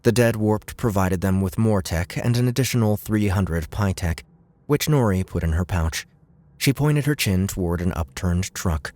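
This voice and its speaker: A man's voice: deep voice, expressive, moderate pace, a little mellow, dry recording. A man reading dramatic scripted narration as an audiobook narrator.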